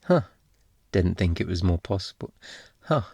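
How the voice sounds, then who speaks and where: A man's voice: low-pitched, very animated, normal speed, creaky, darkish tone, slightly rough, no echo, heavily acted. A man playing a smug, flirty master thief in an ASMR roleplay, taunting a detective in a teasing, mock-innocent, insinuating way. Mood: flirty, smitten.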